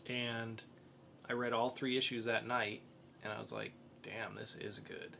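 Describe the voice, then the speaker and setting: A man hosts a casual review podcast. His voice is bright and medium in pitch, in an easy conversational style. Faint background noise sits behind him. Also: little pitch movement, measured pace, vocal fry. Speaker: podcast host. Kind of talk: casual comic book review chat.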